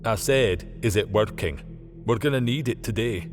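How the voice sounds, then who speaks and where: A man voicing a gruff, cheeky, impatient young Scottish workman in an audiobook. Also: fairly low pitch, normal speed, long reverb tail, over music, lightly acted. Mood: casual. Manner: joking, matey, impatient.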